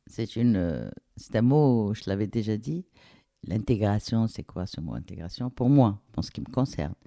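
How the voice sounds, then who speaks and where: A middle-aged woman from Algeria, speaking reflectively and pausing to search for words in a casual interview. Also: rumbling low, expressive, vocal fry, mellow, no room sound, studio quality. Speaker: interviewee, the host's mother.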